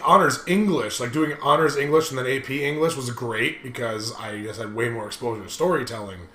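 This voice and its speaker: A man's voice: mid-range voice, a little fast, pushed and bright. A young American man hosting a gaming video, chatting casually and rambling through anecdotes in a crude, joking way. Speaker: host of a gaming video series. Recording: roomy echo, music underneath.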